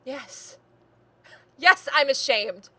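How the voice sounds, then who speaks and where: A proud, defiant young woman in a stage drama played by an actress, high and bright in voice. She is intense and bitter, and her delivery swings widely in pitch. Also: animated, measured pace, exaggerated voice. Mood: furious, defiant. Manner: intense, declamatory, sarcastic. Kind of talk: theatrical stage play reading.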